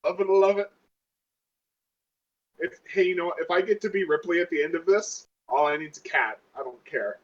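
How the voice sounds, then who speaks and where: A woman's voice: on the low side, moderate pace, pauses often. A woman bantering sarcastically as a player in a tabletop role-playing game, on a lo-fi microphone. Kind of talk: casual banter.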